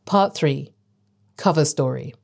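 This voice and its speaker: Low-voiced woman narrating a podcast. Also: slow pace, gravelly, a little mellow. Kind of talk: scripted narration.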